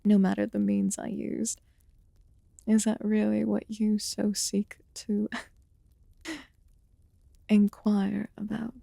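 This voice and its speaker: A woman voicing a calm, mysterious immortal witch in a soft-spoken ASMR fantasy roleplay, with formal, archaic phrasing and a breathy voice that often slips into whispers. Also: mid-range voice, slow pace, pauses often, no echo, clean studio sound, heavily acted. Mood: gently concerned. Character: calm, mysterious immortal witch tending a wounded human guest.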